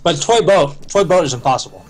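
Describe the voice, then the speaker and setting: A man's voice: a little high, expressive, fairly quick, soft background music, low-quality recording. A young man casting esports, chatting eagerly with his co-casters over a headset. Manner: chatty, enthusiastic about tongue twisters. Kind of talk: casual chat between casters.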